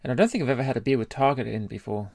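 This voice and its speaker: A man with a medium-pitched voice co-hosts a podcast in a casual, conversational way. His delivery is measured and analytical, and the sound is dry with hardly any room in it. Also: animated, gravelly, a little mellow, dry recording. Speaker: podcast co-host. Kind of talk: casual chat, beer review.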